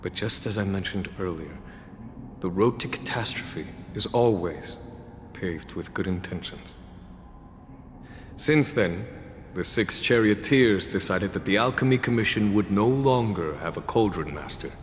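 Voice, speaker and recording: A male actor playing a composed, shrewd general in a video game, speaking in a measured, formal and eloquent way. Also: deep voice, lively intonation, slight background noise. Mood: composed, courteous. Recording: long reverb under loud background music.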